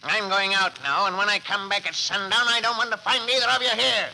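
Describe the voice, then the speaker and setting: Creaky, high-voiced old swamp hermit. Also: male voice, fairly even intonation, a little fast, music in the background. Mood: hostile. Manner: intense, melodramatic, repeating phrases. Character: eccentric, hostile old hermit uncle of the swamp. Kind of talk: 1940s radio acting. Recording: noisy old 1940s radio recording.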